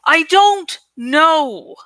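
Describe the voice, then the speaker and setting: A woman reads as an anxious teenage boy in an adventure story. He sounds sharp-tongued and frustrated, with a high, bright voice and drawn-out pacing. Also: lively intonation, no echo, heavily acted. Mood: frustrated, mean.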